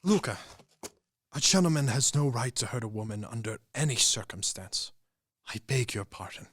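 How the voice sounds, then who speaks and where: A man acting in a radio play as a vain, tormented gentleman war hero, with a pompous, theatrical delivery. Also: medium pitch, expressive, normal speed, slightly rough, no echo, clean studio sound. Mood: stiffly remorseful.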